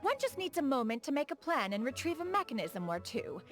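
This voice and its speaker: A dignified immortal lady from a video game, with a fairly high voice that rises and falls widely. She speaks formally and grandly, as a proud, refined inventor. Soft music plays behind her. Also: big pitch swings, brisk. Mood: eager, proud. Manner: formal, stately, refers to herself as "one". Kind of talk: video game character dialogue.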